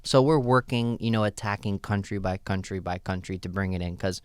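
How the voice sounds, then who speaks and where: Deep-voiced man, relaxed and chatty. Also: fairly quick, smooth, no echo. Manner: relaxed, loose, many fillers and "right?" tags. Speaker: store owner, guest. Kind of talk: casual interview answers.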